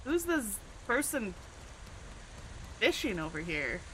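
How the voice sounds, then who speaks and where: A woman's voice: mid-range voice, sing-song, slow pace, background noise. A woman streaming a video game, chatting casually and playfully, often laughing at herself. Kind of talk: casual gameplay commentary.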